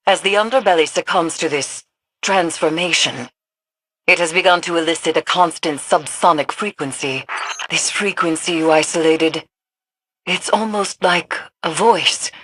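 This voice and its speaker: A woman's voice: on the low side, moderate pace, a bit bright. An actress playing a solemn, ominous woman mystic who guides soldiers in a video game, grave and formal. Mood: grave, uneasy. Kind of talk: dramatic game voice acting.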